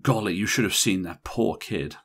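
A man reading an audiobook, voicing a brash, irritable young woman in a bridesmaid's role who talks in a shrill, insistent way. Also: moderate pace, fairly bright tone, breathy edge, heavily acted. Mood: gossiping. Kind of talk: audiobook character voice.

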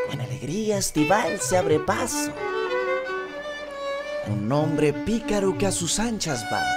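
A woman voicing a flamboyant, mischievous young male cat bootlegger in an animated cartoon dub, grandiose and melodramatic, with a Latin American accent. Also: low-pitched, exaggerated voice.

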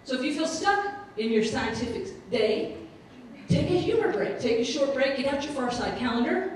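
A woman's voice: high-pitched, lively intonation, normal speed, background noise. A middle-aged woman doing a playful, self-mocking stand-up set on stage with a handheld mic, chatting with the audience. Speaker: stand-up comedian and speaker. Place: stage in a large room with a live audience. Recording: roomy, distant, with audience laughter.

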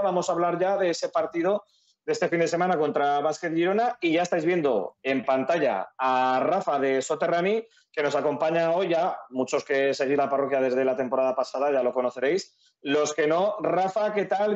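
A Spanish man hosts a live podcast, introducing his guests and passing the floor to them. His voice sits a little high. The sound is slightly dull, like lo-fi call audio. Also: brisk. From Spain. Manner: friendly, introducing guests and asking questions. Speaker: podcast host. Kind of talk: hosting a live fan podcast.

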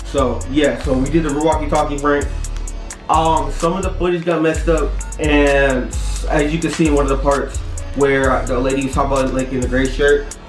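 A man's voice: on the high side, flat delivery, moderate pace, roomy sound, poor audio. A young American man chatting casually to camera as the host of a prank vlog, recorded indoors at home. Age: young adult. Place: indoors, plain room at home for the intro. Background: music.

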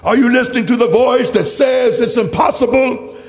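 A man's voice: extremely high pitch, fairly even intonation, normal speed. A man preaching an impassioned church sermon in a declamatory, emphatic way, with repeated rhetorical phrases. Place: large church. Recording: reverberant hall, faint music.

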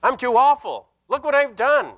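An older man, a Lutheran pastor, preaching a sermon in an earnest, emphatic manner with deliberate pauses and repeated phrases. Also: very high voice, very animated, a bit bright, dull audio. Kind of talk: sermon with scripture and anecdote. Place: indoor church sanctuary at a lectern.

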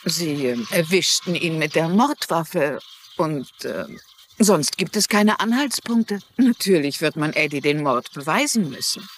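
Eine Schauspielerin spielt in einem synchronisierten TV-Drama eine gefasste, wissbegierige ältere Frau, die bedacht und deutlich spricht. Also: female voice, low voice, expressive, a little slow, a little hiss or hum. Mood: grave, measured. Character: composed, inquisitive older woman, a former teacher turned sleuth. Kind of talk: dubbed TV drama dialogue in German.

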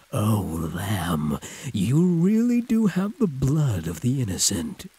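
A man voicing a huge, possessive, teasing werewolf prisoner with a husky, breathy drawl, in an intimate audio roleplay. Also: on the low side, mellow, a little hiss or hum, heavily acted. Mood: predatory, hungry. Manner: drawling, teasing pet names, predatory purr.

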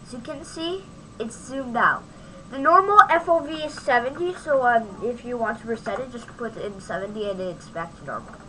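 A woman giving a casual, off-the-cuff software tutorial, thinking aloud as she demonstrates on a home microphone recording. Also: on the high side, moderate pace, slight background noise, poor audio. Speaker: tutorial host.

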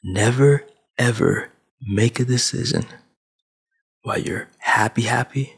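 A man's voice: medium pitch, unhurried, gravelly, slightly rough. A man in his twenties from Sudan, raised in Saudi Arabia, giving a preachy big-brother rant to camera on a headset mic in a small dim room. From Sudan, grew up in Saudi Arabia. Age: 20s. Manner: preachy big-brother tone, emphatic, with hand gestures. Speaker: vlogger. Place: small dim room at home, gaming chair behind. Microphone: headset with boom mic.

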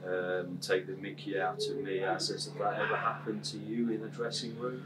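A middle-aged man asking interview questions gently, with hesitations and "sort of" fillers. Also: lowish voice, completely flat delivery, normal speed, heavy background noise. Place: large room by a window, indoors. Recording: distant mic with clear room ambience.

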